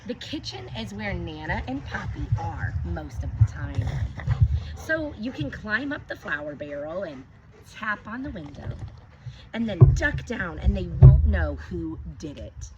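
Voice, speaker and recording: A woman teacher reading a picture book aloud to children, gently stopping to ask them questions. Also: fairly low pitch, very animated, measured pace. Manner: gentle, asks children questions between pages. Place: outdoors with her dogs. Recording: dull lo-fi recording with clear background noise.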